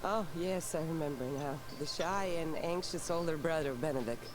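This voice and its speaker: A man's voice: on the high side, normal speed, noisy background, natural voice. A performer with a male-sounding voice playing a teasing, friendly hut-dwelling local in a game. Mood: familiar, gentle. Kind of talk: game character dialogue.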